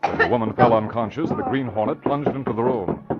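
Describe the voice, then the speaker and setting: A man's voice: mid-range voice, a little fast, over a noisy crowd. An American man narrating and announcing an old-time radio drama in a dramatic scripted style. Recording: dull old radio recording.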